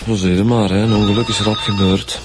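Actor as a wry Flemish male detective, lowish voice. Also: level tone, quick pace, lightly acted. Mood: matter-of-fact. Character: wry, teasing male police detective sidekick. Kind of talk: naturalistic radio drama dialogue. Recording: music behind the dialogue.

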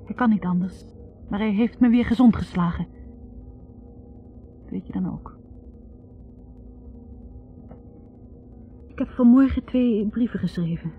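An actress playing a wounded, bitter young academic woman confessing in a halting, accusing way in a 1960s radio drama. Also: mid-range voice, close to an everyday voice. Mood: defiant, resolute. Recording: tinny narrow-band 1960s radio recording.

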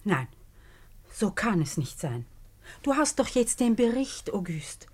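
An actress playing an anxious, loyal minister's wife in a 1950s German-language radio play, pleading and disbelieving. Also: female voice, mid-range voice, big pitch swings, slow pace, darkish tone, husky, full character voice.

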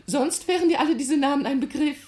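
A woman playing a storyteller among friends in a radio drama, narrating in an expressive, unhurried way. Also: high-pitched, lightly acted. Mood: amused, explaining.

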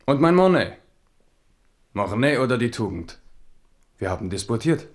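A man's voice: medium pitch, big pitch swings, moderate pace, natural voice. An actor playing a wry, pragmatic, philosophical king in a German radio drama. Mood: wry, reflective.

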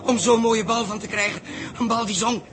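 Male actor as guilt-ridden, desperate young man, high voice, halting delivery, noisy sixties radio drama sound. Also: steady tone, close to an everyday voice. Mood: agitated, confessing. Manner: halting, agonised, repeating himself. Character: tormented young man confessing his boyhood jealousy, desperate, guilt-ridden. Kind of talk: 1960s Dutch radio drama acting. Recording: noisy 1960s radio recording.

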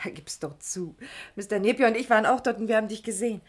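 An actress playing a brisk, direct office clerk with a teasing streak in a 1960s German radio crime drama. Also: female voice, mid-range voice, breathy edge, lightly acted. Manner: lively, direct.

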